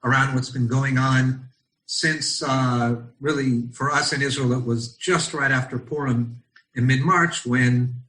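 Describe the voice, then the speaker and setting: A middle-aged American man from Los Angeles, a rabbi leading an online study session over a video call, speaking thoughtfully and often pausing with ums as he searches for words. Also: mid-range voice, a bit bright, rough recording. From Los Angeles, United States. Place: at home in Israel.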